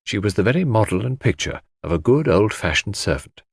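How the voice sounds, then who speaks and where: Male audiobook narrator for mystery fiction: dramatic scripted read, expressive pitch movement, dry close sound. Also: a little fast, husky, no room sound.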